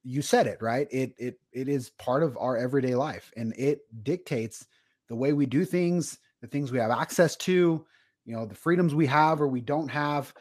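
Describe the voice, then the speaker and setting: A man's voice: medium pitch, moderate pace, darkish tone. A man hosting a podcast interview, talking in a casual, chatty way with many "kind of" and "you know" hedges. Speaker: podcast host.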